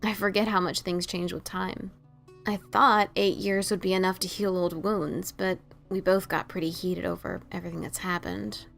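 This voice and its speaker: Woman playing a brash, creaky-voiced pony adventurer. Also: lowish voice, fairly even intonation, normal speed, a bit bright, faint music behind. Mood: rueful. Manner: hesitant, stammering, casual. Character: brash, self-doubting female pegasus pony adventurer-writer. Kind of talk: audio drama dialogue of fan fiction.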